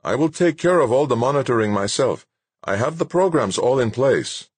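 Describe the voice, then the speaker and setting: A man's voice: medium pitch, expressive, normal speed, husky, no echo, voice pushed up. A man voicing a stubborn, self-absorbed male scientist who protests insistently in an audiobook. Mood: indignant. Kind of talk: acted audiobook dialogue.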